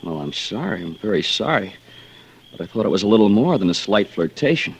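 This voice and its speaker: An actor playing a jilted young suitor, wounded and bitter, in an old radio thriller. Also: male voice, on the low side, big pitch swings, brisk, gravelly, a little hiss or hum. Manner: emotional, swinging from pleading to reproach. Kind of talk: 1940s-50s radio thriller acting.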